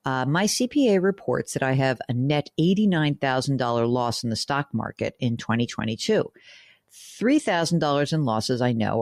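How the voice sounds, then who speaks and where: A woman hosting a radio money show. She reads listener emails aloud and answers them frankly and chattily, working through the numbers out loud and throwing in joking asides. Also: extremely low pitch, dry recording, clean studio sound. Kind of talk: conversational radio call-in advice.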